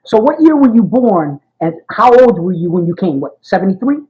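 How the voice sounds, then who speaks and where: A man's voice: sits high, big pitch swings, normal speed, dark tone. Friendly male archivist, muffled. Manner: friendly, affirming, keeps saying "got it" and "awesome". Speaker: interviewer, archivist. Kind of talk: oral history interview.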